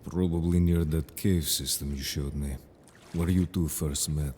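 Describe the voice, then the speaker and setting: A man playing a calm, confident, protective merfolk in an audio roleplay drama, speaking with measured, formal phrasing. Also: extremely low pitch, slight background noise. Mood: calm, reassuring.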